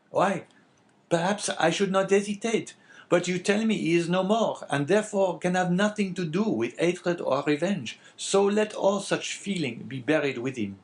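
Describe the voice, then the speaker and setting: A man's voice: on the high side, voice pushed up, close to an everyday voice. A man voicing a bitter, wavering, talkative innkeeper in an audiobook, with a plaintive and emphatic manner.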